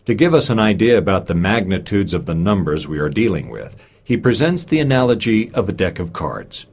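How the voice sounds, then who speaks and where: A man reading a lecture aloud in a measured way, giving expressive emphasis to key phrases and quotations. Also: sits low, a little hiss or hum. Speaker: reader of a recorded speech.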